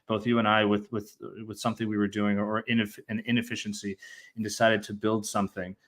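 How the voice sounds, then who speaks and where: Male podcast host, lowish voice, casual, rambling, lots of fillers. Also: flat delivery, fairly quick. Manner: rambling questions full of "you know" and restarts. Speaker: podcast host and immigration lawyer. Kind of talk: casual interview hosting.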